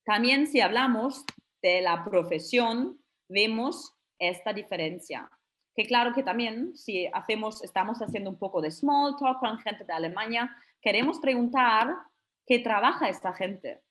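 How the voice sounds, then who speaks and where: Woman teaching slowly. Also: fairly high pitch, expressive, a bit bright. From Germany. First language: German. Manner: slow, careful demonstrations of German phrases between Spanish explanations. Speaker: German teacher. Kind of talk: webinar lesson for beginners.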